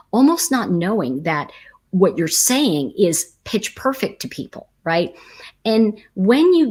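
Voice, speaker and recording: Chatty, husky American woman. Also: medium pitch. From United States. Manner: chatty, rambling with many restarts and fillers. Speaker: tarot teacher and host. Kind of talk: casual storytelling livestream.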